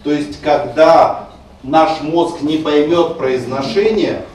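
A man's voice: fairly high pitch, slow pace, a little mellow. A male teacher explains pronunciation rules and sounds out example words. He is picked up by a distant mic, so the voice comes across roomy and heavily echoed. A faint hiss sits underneath. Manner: explanatory, demonstrating pronunciation aloud. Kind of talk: screencast lecture on Russian stress.

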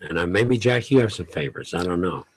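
Older man with a low, unhurried voice. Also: animated. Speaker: veteran radio host guest. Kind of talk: radio interview answers. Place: small radio studio. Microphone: studio mic with headphones.

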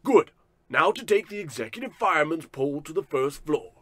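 An actor playing a pompous, cruel, greedy rich boss, bombastic and scornful, in a parody of old radio drama. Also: male voice, on the high side, sing-song, fairly quick, bright, forceful tone, no echo, heavily acted. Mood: smug, bossy.

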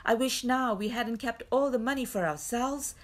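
A woman's voice: fairly high pitch, moderate pace, voice pushed up, natural voice. A woman voicing a frank, earnest young boy in a children's storybook. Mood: regretful.

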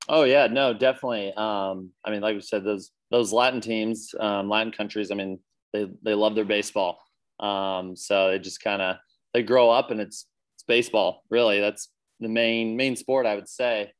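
A young man with an American accent and a slightly low voice talks casually as a guest on a video call. He rambles amiably, filling pauses with ums and yeahs. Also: fairly even intonation. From United States. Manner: easygoing, chatty, many fillers. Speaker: baseball player guest.

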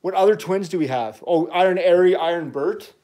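Man, middle-aged, dad host, slightly high voice, enthusiastic rambling toy chat. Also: a little fast, warm tone. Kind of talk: casual livestream chat with his child.

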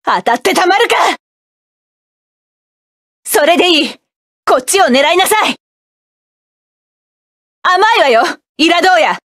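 Proud hardened mech pilot woman, high voice, clipped barks, dry studio sound. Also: lively intonation, measured pace, long pauses, dry recording, exaggerated voice. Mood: battle-ready. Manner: sharp, self-assured, clipped combat barks. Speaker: game character. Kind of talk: game battle voice lines.

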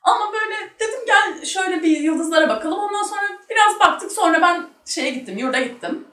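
A young woman with a distinctly high-pitched voice chats casually and tells stories with giggly animation. She sits back from the microphone in a small, echoey room. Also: expressive, quick pace, fairly bright tone. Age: young adult. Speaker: talk show guest. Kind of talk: casual chat and anecdotes. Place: small studio room at a table. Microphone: shared table microphone. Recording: roomy, distant microphone.

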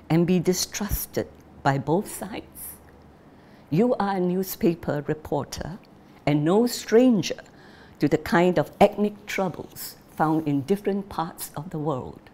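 A woman reading the letter of a worried old father to his son, slow and earnest. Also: on the low side, mellow.

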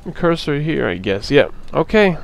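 A man hosting a let's play, chatting casually over gameplay, hoarse from a sore throat, laughing often. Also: on the high side, sing-song, moderate pace.

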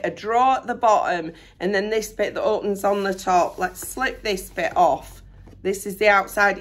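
A middle-aged woman talking casually into a camera at home. Her voice is hoarse and a bit rough from a recent illness. She rambles chattily and sounds excited and self-deprecating. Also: medium pitch. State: hoarse, recovering from lost voice. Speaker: vlogger. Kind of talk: casual chat. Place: bedroom at home, indoors.

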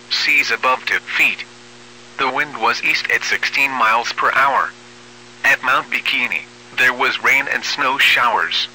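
Automated-sounding male weather announcer, text-to-speech, piercingly bright, measured and formal, faint radio hum. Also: medium pitch, poor audio. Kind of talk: automated weather bulletin reading.

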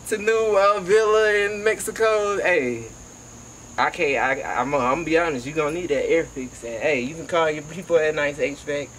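A man's voice: on the high side, a bit bright, slight background noise, low-quality recording. A young man from Georgia, talking casually and emphatically in slang, like a motivational vlogger recording outdoors. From Georgia, United States. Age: young adult. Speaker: HVAC technician and vlogger. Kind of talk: casual motivational vlog talk. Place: outdoors by a house.

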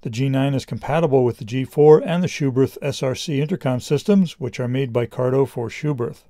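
A middle-aged man reading a scripted product review in a measured, explanatory way. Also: medium pitch, warm tone, no room sound, clean studio sound.

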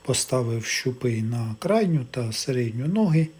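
A man giving a casual, step-by-step repair demonstration, thinking aloud in an unhurried, explanatory way. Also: medium pitch, animated, darkish tone. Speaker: electronics repair hobbyist narrating.

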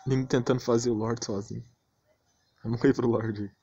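A man's voice: mid-range voice, steady tone, normal speed, noisy background. A Brazilian man giving casual, slangy commentary on gameplay. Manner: slangy, informal. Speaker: gaming channel host. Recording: muffled lo-fi voice recording.

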